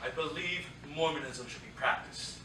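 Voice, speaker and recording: A man playing an earnest young man in a staged reading, measured and articulate. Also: fairly high pitch, natural voice. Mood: deadpan. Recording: roomy, distant theatre recording, faint noise.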